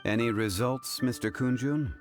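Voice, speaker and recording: A man playing a calm, wise gentleman scholar in an anime video game, speaking formally and with measured gravity. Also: mid-range voice, soft background music, natural voice. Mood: composed, deliberate.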